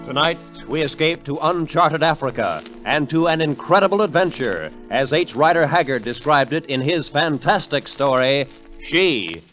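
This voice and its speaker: A male announcer in dramatic 1940s radio style, his voice on the higher side and formal. Loud music swells behind him on a muffled old recording. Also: lively intonation, normal speed. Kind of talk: 1940s radio announcing, scripted programme introduction.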